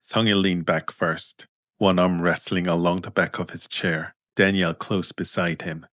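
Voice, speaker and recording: A man with a deep voice narrates scripted fiction. His delivery is measured and understated, and the recording is close and dry. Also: steady tone, fairly bright tone, no room sound. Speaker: author and narrator.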